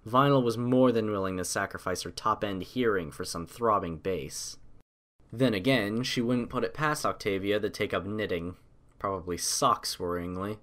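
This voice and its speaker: A man's voice: on the low side, normal speed, a bit bright. A man reading a scripted story aloud as an audiobook narrator.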